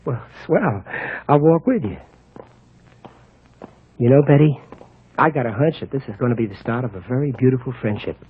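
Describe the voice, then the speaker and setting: An actor playing a brash, soft-hearted, wisecracking milkman with a husky New voice in an old radio comedy-drama. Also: medium pitch, animated, normal speed, long pauses, a little hiss or hum, close to an everyday voice. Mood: smitten, flustered. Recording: dull vintage radio recording.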